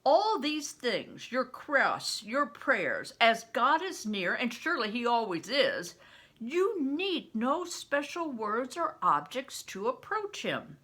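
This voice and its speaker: Storybook role: gruff, preachy man, played by an older woman, forceful and highly animated. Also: takes it slowly, heavily acted. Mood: scornful, insistent. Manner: emphatic, commanding, preachy. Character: rough, gruff, opinionated big man, storybook mentor.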